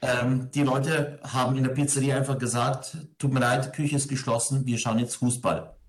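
A man speaks as an interview guest, with a mid-range voice. He talks conversationally and thoughtfully, often pausing as he shapes his phrases. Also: medium pitch, little pitch movement. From grew up in Ingolstadt, Germany.

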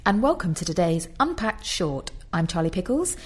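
A woman's voice: lowish voice, sing-song, normal speed, faint music behind. A woman hosting a discussion podcast, sounding nasal from a head cold and talking conversationally with fillers. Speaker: podcast host. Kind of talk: casual discussion.

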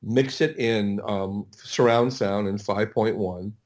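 Halting, low-pitched middle-aged man. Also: steady tone, darkish tone. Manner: halting, repeats and restarts words, rambling. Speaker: audio producer, interview guest. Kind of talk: casual interview storytelling.

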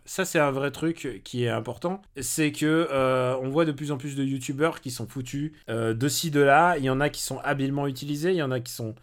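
A man's voice: a little high, fairly bright tone. A man speaking as a podcast guest and comedy film critic, giving opinionated views in long, rambling stretches of casual talk.

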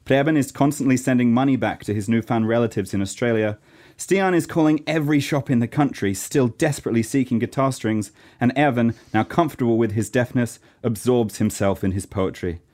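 A man's voice: mid-range voice, brisk, a little mellow. A man from Norway narrating a scripted radio play in a theatrical, storytelling way.

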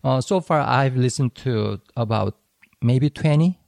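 Gravelly, mellow-voiced man explaining calmly. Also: mid-range voice, no echo, clean studio sound. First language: Korean. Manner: thoughtful, explanatory, slightly halting. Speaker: podcast co-host. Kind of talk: casual educational discussion.

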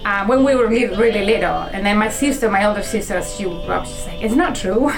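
A woman's voice: mid-range voice, expressive, fairly quick, over loud music, poor audio. A woman from Chile, telling her life story as a medical interpreter in a reflective way, with many ums and restarts.

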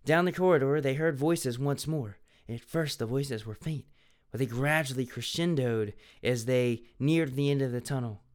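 A man's voice: mid-range voice, normal speed. A young man reading a children's mystery book aloud in a mocking, dramatic way, breaking off to make sarcastic comments. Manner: sarcastic, laughing at the text.

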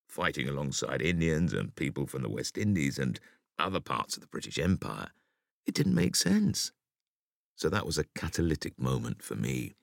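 Really deep gravelly guy reading an audiobook, deliberate storyteller delivery. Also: dry recording, clean studio sound. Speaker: audiobook reader. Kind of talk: measured audiobook reading of an interview essay.

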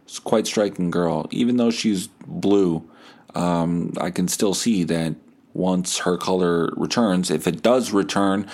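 A man's voice: sits low, slow pace, darkish tone. A man hosting a comedy podcast, telling a first-person story in a casual, profane way and acting out bits of dialogue.

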